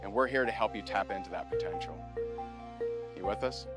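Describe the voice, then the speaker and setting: A man's voice: on the low side, brisk. A man hosting a competition show, telling a personal story to the camera in an earnest, motivational way. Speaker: show host and business coach. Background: music bed.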